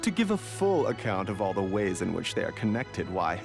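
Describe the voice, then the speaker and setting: An actor playing a gentle, scholarly, long-winded herbal pharmacist who speaks politely and formally in a fantasy game. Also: male voice, fairly low pitch, very animated, moderate pace, creaky, over music. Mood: lecturing. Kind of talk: anime fantasy game voice acting.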